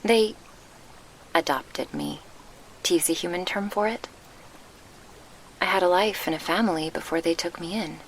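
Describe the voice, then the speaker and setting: Woman doing a gentle succubus guardian for asmr, lowish creaky murmur, formal and wistful, right up on the mic. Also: a little hiss or hum, natural voice, low-quality recording. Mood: pained, hesitant. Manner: formal, measured, old-fashioned diction. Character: gentle, wistful female succubus guardian, grieving her lost past. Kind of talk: ASMR roleplay, soft scripted acting. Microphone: close binaural microphone.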